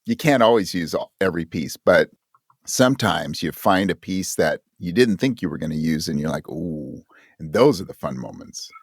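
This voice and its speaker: A man being interviewed about his writing, talking in a thoughtful, halting way and voicing his own thoughts as quotes. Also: sits low, animated, creaky, slightly rough, dry recording, clean studio sound. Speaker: interview guest, audio drama writer.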